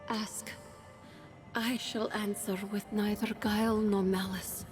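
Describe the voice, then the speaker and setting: A woman playing a calm, earnest alien ruler in video game dialogue, speaking in a measured, solemn and lyrical way. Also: medium pitch, music in the background, lightly acted. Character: calm, earnest alien woman, serene stand-in ruler pleading for her people.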